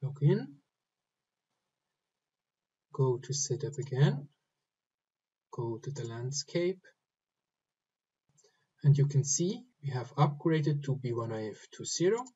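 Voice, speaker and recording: A man giving step-by-step instructions for a software screen recording, speaking in short, halting phrases. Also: mid-range voice, very animated, slightly rough, dull audio. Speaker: software tutorial presenter. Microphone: voice-over recorded over screen capture.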